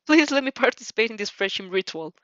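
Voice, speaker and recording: Woman playing a formal, passionately earnest schoolboy, animated. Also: on the high side, fast talker, no room sound, muffled recording. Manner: formal, grandiose. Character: stiff, passionately earnest teenage boy student.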